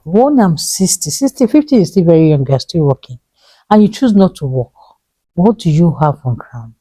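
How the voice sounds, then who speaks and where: A woman in her thirties with a Nigerian English accent, earnestly pleading with viewers and repeating her points, dropping to a low hush for emphasis. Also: no room sound. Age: 30s. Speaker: vlogger giving money advice. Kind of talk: earnest motivational talk to camera.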